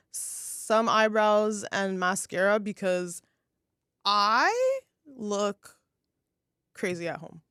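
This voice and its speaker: A woman's voice: medium pitch, a bit bright, no room sound, clean studio sound. A young adult woman co-hosting a casual podcast, chatting with lots of filler words. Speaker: podcast co-host. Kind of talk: casual chat.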